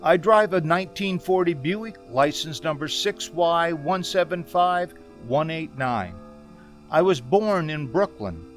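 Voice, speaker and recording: A man playing an anxious man in his thirties in an old-time radio drama, speaking haltingly. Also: fairly high pitch, expressive, faint music behind. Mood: anxious, urgent. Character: anxious 36-year-old man recounting a haunting road trip.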